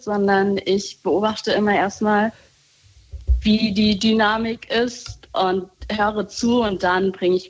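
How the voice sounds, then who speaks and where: A woman talking casually on a call, reflective and soft-spoken, often laughing lightly. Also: mid-range voice, lo-fi sound. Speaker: guest.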